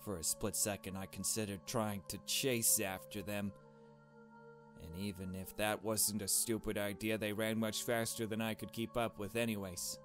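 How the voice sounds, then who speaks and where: A low-voiced man reads as a nervous young woman telling a visual novel mystery. The delivery is uneasy and hesitant, measured like storytelling, over game music. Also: level tone, lightly acted.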